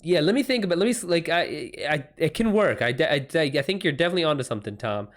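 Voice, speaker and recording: A man hosting a livestream, chatting casually with viewers in a halting way as he thinks aloud. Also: fairly high pitch, animated. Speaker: livestream host and writer.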